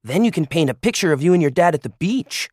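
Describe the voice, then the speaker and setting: A man's voice: fairly high pitch, animated, fast talker, darkish tone, no echo, studio quality, pitched above the performer's own voice. A man reading a storybook aloud and voicing a friendly, encouraging male art teacher.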